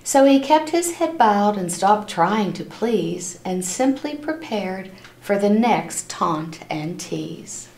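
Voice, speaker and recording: A woman reading a picture book aloud to children in an expressive, animated storytelling style, stopping to address her listeners. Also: mid-range voice, vocal fry. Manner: animated, acts out characters' lines, pauses to ask listeners questions. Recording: roomy, echoing room sound.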